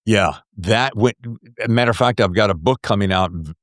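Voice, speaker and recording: A middle-aged man hosting a casual interview show, talking in an excitable, geeky and slangy way. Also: fairly low pitch, moderate pace, dry recording, studio quality. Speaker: podcast host.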